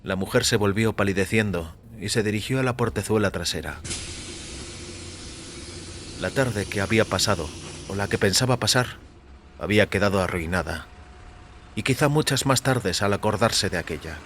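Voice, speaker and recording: A man reading scripted first-person fiction as narrator, in a measured, composed manner. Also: on the low side, a bit bright, slight background noise. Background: music.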